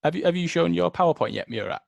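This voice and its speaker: A man's voice: on the high side, lively intonation, fast, creaky, no room sound. A man organising an online session, chatting casually and reassuring the speaker in short bursts over a video call. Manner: reassuring, brief interjections.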